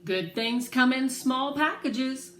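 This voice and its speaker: A woman's voice: high voice, lively intonation, slow pace, a bit bright, voice pushed up, full character voice. A woman voicing a bold, bouncy, proud young girl with an emphatic, cheeky manner in a storybook reading. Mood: chirpy. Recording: roomy echo, faint background noise.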